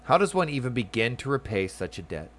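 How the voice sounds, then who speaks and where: A man's voice: medium pitch, very animated, fairly quick, a bit bright. A man voicing an ailing, courteous older father from a video game, formal and solemn in his phrasing. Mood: solemn, grateful.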